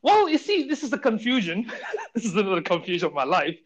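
A man raised in Saudi Arabia, on as a podcast guest, tells stories about his childhood. He is chatty and laughs often, and his voice sits slightly higher than most men's. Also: huge pitch swings, fast. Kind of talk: casual interview storytelling.

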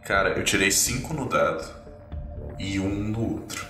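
A man's voice: low-pitched, expressive, normal speed, reverberant, far from the mic. A man with a Brazilian accent narrating a tabletop role-playing game as game master. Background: music.

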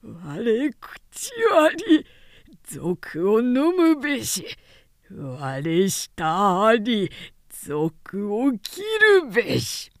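Woman voicing a defiant old physician, slow fierce declaiming. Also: high voice, very animated, no echo, studio quality, exaggerated voice. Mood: defiant fury. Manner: slow, drawn-out declaiming with archaic speech.

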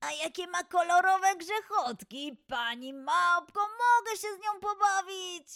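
Very high bright child-like animal, done by a woman, slow, sweet, eager, kind storybook friend. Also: vocal fry, full character voice. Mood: excited.

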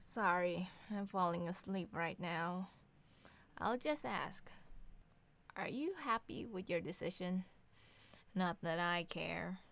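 A woman's voice: fairly low pitch, slow, creaky, pauses often, fairly bright tone, exaggerated voice. A woman playing a sarcastic young mother who needles her partner with dry mockery in an audio drama. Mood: feigned boredom. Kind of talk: two-hander audio drama reading.

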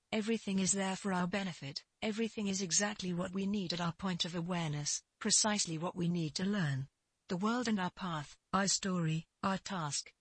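A narrator reads a scripted spiritual advice reply in an earnest, measured and emphatic manner. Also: medium pitch, a bit bright, breathy edge, no room sound. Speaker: spiritual advisor answering a letter.

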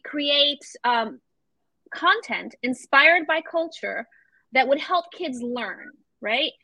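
A woman's voice: sits high, bright, forceful tone, no echo, rough recording. A woman from Venezuela, a podcast guest who talks with enthusiasm and slips Spanish phrases into her English. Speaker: guest, founder of a children's media brand. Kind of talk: podcast interview.

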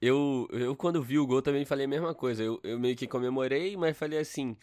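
A man's voice: medium pitch, a little fast, darkish tone, no room sound. Slangy, rambling man, dry sound. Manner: rambling, many restarts, slangy. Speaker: podcast co-host. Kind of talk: casual football chat.

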